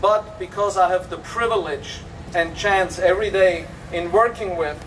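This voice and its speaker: A man's voice: high-pitched, normal speed. Enthusiastic Austrian-accented man at an outdoor dedication. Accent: Austrian German. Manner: enthusiastic, emphatic, with frequent fillers. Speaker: resort executive giving a dedication speech. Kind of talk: public dedication speech. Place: outdoors at a public event. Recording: distant outdoor recording with faint background noise.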